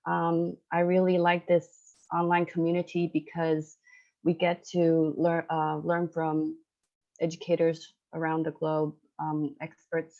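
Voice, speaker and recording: A low-voiced woman with a Taiwanese accent, a native Chinese speaker. She talks in an even, flat line, pausing to search for words. The sound is that of a dull online call. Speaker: online teacher-training participant and educator. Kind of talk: informal discussion in an online meeting. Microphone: online video call.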